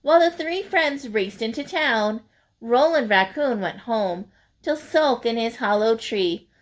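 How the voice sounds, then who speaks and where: A woman's voice: a little high, lively intonation, measured pace. A woman reading a picture book aloud like a teacher, in a sing-song, explaining way for young listeners.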